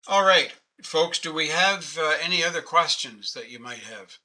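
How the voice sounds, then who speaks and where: An older Canadian man in his late sixties leads a webinar over a webcam call. His voice is very bright and clear. He talks in a patient, easygoing way and pokes fun at himself. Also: fairly high pitch, lively intonation, moderate pace, poor audio. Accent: Canadian English. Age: 60s. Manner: patient, conversational, self-deprecating. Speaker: webinar presenter. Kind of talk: casual online teaching. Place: home office. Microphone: webcam video call.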